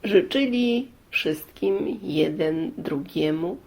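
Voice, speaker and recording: A woman narrating a moral folk tale expressively, in a deliberate way with dramatic pauses. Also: fairly low pitch, lively intonation, darkish tone. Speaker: storyteller.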